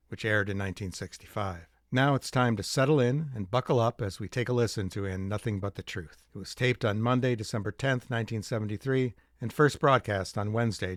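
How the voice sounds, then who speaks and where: Low-voiced man, scripted podcast commentary, dry sound. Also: dry recording.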